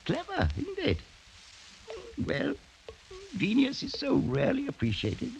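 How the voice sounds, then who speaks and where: An actor playing a cruel, scheming older aristocratic uncle in a mid-century radio drama, speaking with mock courtesy and theatrical relish. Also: male voice, very high-pitched, huge pitch swings, a little slow, gravelly, exaggerated voice. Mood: smug relish. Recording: noisy vintage radio recording.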